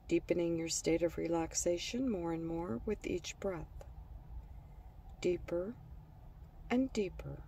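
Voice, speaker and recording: A woman narrating a scripted guided relaxation for children in a gentle, soothing manner, leaving pauses between phrases. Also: on the low side, far from the mic, slight background noise.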